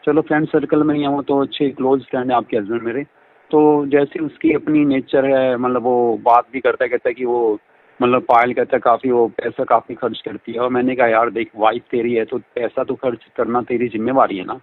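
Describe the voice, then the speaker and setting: A man's voice: mid-range voice, little pitch movement, a little fast. Phone-line man, effusive flirt. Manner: effusive, repetitive, talkative. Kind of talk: flirtatious phone conversation in Hindi mixed with English. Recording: band-limited phone call audio.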